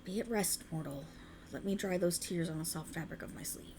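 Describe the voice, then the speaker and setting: Woman performing an ancient faerie enchantress for ASMR roleplay: creaky voice, courtly old-world phrasing, soothing, possessive, ambient noise underneath. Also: on the low side, a little fast, lightly acted. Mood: indignant, comforting. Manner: soothing, formal archaic phrasing, coaxing. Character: ancient alluring female faerie, tender yet sinisterly possessive.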